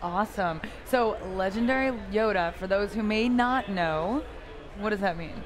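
A woman in her thirties hosting a live interview at a convention booth, chatty, bubbly and loud, on a lapel mic. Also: medium pitch, normal speed, a crowd in the background. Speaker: host. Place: booth at a busy convention hall.

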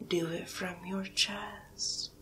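A woman playing a commanding, coaxing alien cow captor in a breathy, whispered hypnosis ASMR roleplay. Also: medium pitch, fairly even intonation, a bit bright. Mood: hypnotic, commanding. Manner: slow, deliberate, coaxing, commanding. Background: music.

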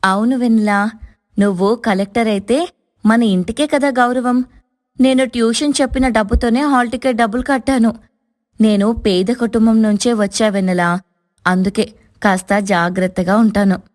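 A kind young wife with a calm, gentle and reassuring way of speaking, done by a male narrator. Her voice is pitched well above his own, light and steady, as in a storybook. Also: moderate pace, dry recording, clean studio sound, natural voice. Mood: warm.

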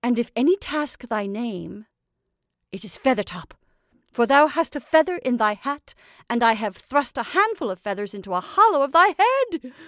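A woman doing a high, sharp-voiced old witch. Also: very animated, moderate pace, dry recording, clean studio sound. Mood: doting. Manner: fond and coaxing, archaic speech. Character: cackling old witch mother, doting, sharp-tongued.

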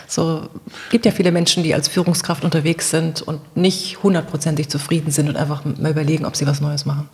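A woman's voice: deep voice, flat delivery, fairly quick, vocal fry, darkish tone. A woman hosting a podcast interview, putting questions and summing up to her guests.

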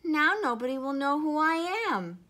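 A woman performs a little storybook planet who is indignant and easily wounded. He exclaims his lines emphatically in a high, forceful voice that swings widely. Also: expressive, normal speed. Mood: defiant. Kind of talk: children's storybook character voice.

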